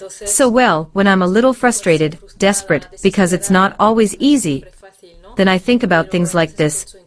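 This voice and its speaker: A woman with a mid-pitched voice answers interview questions thoughtfully. She stacks up repeated phrases for emphasis and speaks in a reflective, earnest manner. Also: expressive, normal speed, faint music behind. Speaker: translator.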